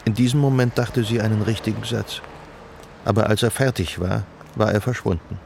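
A man acting as the measured, reflective narrator of a literary radio drama about love. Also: on the low side, steady tone, creaky, darkish tone, a little hiss or hum. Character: omniscient male narrator of a literary love story, observant. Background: music.

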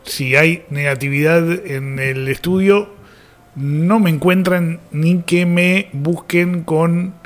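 A man's voice: fairly high pitch, fairly even intonation, slow. A man with an Argentine accent hosting a radio show, chatting casually as he signs off. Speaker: radio host. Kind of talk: casual radio sign-off chat.

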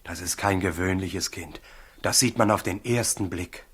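An actor in a 1960s German radio play, playing an eager, awed, polite shepherd with a husky voice and earnest manner. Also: male voice, low voice, normal speed, fairly bright tone. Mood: admiring.

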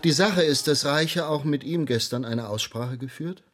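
Brusque husky investigator. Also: male voice, fairly high pitch, moderate pace, fairly bright tone, faint music behind. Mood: probing. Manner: brusque, probing, officious. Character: blunt, unsentimental male investigator of a baroque town. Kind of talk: German radio drama acting.

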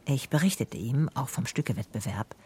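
A woman's voice: extremely low pitch, animated, normal speed, a little mellow, slightly rough, full character voice. An actress playing a female private detective who narrates her case in a dry, matter-of-fact radio drama style. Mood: matter-of-fact narrating.

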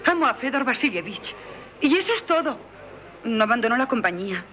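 An actress with a Castilian Spanish accent playing a defiant, lovestruck young singer in 1970s radio theatre. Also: female voice, fairly high pitch, very animated, fairly quick, fairly bright tone, a little hiss or hum. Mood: defiant passion. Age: young adult. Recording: dull 1970s radio recording, crowd behind.

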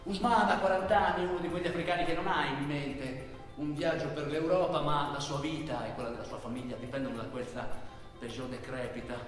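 A man's voice: fairly high pitch, fairly even intonation, fairly quick, faint music behind. A man narrating a theatrical travel monologue on stage, delivered phrase by phrase. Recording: very echoey hall, far from mic.

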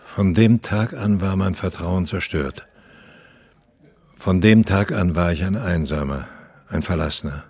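An actor playing a lonely, embittered former opera star in his eighties, telling his story deliberately and theatrically, with a husky voice. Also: sits low, darkish tone, exaggerated voice. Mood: brooding, confessional. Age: 80s. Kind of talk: dramatic monologue, radio play.

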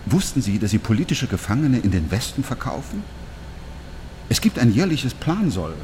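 An actor playing an earnest, troubled East German professor in a radio drama, hesitant at times and emphatic at others. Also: male voice, mid-range voice, sing-song, moderate pace, a little mellow, slight background noise, lightly acted. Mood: appalled.

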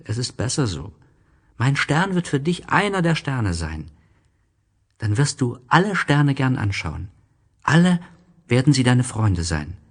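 Young boy character acted by a man, gentle, wistful, earnest, husky voice, slow delivery, radio play. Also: medium pitch, exaggerated voice. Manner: slow, earnest, tender, simple phrasing. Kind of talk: German radio play acting.

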